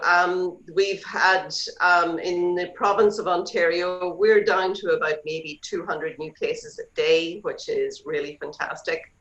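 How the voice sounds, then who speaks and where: Irish woman on a roomy call. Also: on the low side. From Ireland. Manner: chatty, relaxed, hesitant with fillers. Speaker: real estate agent guest. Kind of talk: video call interview. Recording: roomy, dull video call audio.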